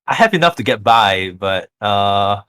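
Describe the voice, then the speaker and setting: A man with a Singaporean accent speaks in a fairly low voice. He chats casually, a little hesitant and self-deprecating, as part of a podcast conversation. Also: animated, a little slow, sharp tone, clear, resonant tone, dry recording. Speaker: podcast guest or co-host. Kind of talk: casual chat.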